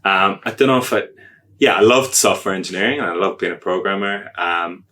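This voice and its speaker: A man with an Irish accent, a guest on a podcast, chatting casually in a relaxed, self-deprecating way. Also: low voice, a little fast. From Ireland. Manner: relaxed, self-deprecating, hesitant with many ums. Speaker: podcast guest, agile coach.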